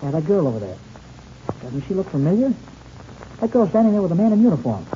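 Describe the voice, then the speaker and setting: Curious teen boy pilot, very dark muted tone, lively pitch, hissy old radio serial. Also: fast, highs cut off. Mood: excited recognition. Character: eager, observant teenage boy pilot, friendly and curious. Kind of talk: old-time radio adventure serial acting.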